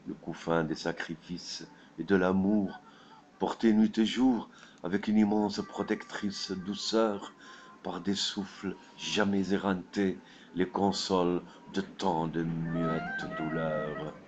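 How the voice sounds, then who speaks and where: A man reciting his own poem in a solemn, declamatory way, with measured phrasing. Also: sits low, steady tone, lo-fi sound, slight background noise. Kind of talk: solemn poetry recitation with a dedication. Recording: voice over prominent flute music. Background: flute music.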